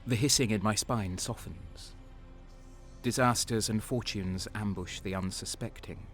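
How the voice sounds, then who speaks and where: An actor as a brooding, low-voiced renegade soldier. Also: male voice, a little slow, a bit bright, faint music behind. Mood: subdued. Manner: brooding, cynical. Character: bitter, sardonic male renegade soldier narrating his violent story. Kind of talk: dark sci-fi audio drama narration and dialogue.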